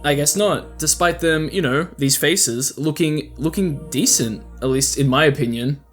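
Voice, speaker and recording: A man with a slightly high voice talks through a video essay in a casual, conversational way. He is wry and opinionated, often posing rhetorical questions. Faint music plays behind him. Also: normal speed. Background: background music.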